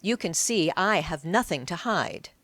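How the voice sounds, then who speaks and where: A woman's voice: lowish voice, lively intonation, unhurried, a bit bright, no room sound. A woman narrator playing a brazen, defiant prostitute in an audiobook, with practiced, pleading protests.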